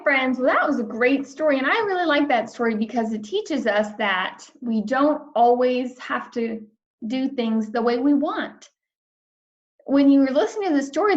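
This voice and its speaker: High-voiced, slow-paced woman teacher reading aloud. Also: highs cut off. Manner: simple, emphatic phrasing addressed to young children. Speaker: schoolteacher. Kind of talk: children's read-aloud and lesson.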